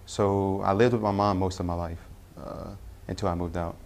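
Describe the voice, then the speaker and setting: A young man from Tennessee, telling his personal faith story in a halting way, with frequent pauses and self-corrections. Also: low-pitched, flat delivery. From Tennessee, US Southern. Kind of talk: personal testimony.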